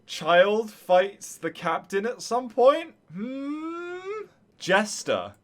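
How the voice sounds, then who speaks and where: A male streamer with a fairly high, very lively voice that swings up and down. He reacts casually to a game, thinking aloud and talking to his viewers in an excitable way. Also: drawn-out words.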